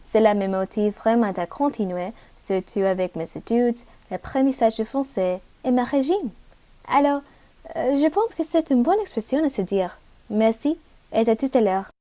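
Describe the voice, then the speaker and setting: A woman's voice: on the high side, lively intonation, normal speed, mellow. A woman from the United States, a French student, reading a short upbeat message. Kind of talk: read-aloud video message.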